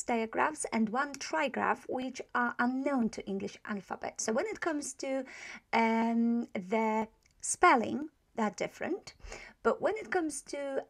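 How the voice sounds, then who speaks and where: A woman's voice: on the high side, creaky. Polish-accented woman teaching online. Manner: hesitant, many fillers and restarts. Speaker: language teacher. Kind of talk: online lesson. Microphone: voice-over to slides.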